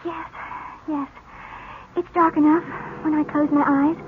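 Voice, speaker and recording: A fragile, plaintive psychic girl with a very high, slow-paced voice. She is emotional and stubborn, acted in 1970s radio drama style on a dull, noisy recording. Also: flat delivery. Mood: hesitant, timid.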